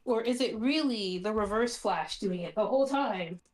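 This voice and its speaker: A woman's voice: medium pitch, moderate pace, darkish tone. A woman chatting casually as a player in a tabletop game, teasing and joking with the others. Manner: teasing, giggly.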